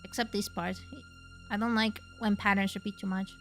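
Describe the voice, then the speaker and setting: A woman from Chile chatting casually and excitedly while streaming a game, with the odd swear. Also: medium pitch, normal speed, faint music behind. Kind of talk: casual gaming livestream chat.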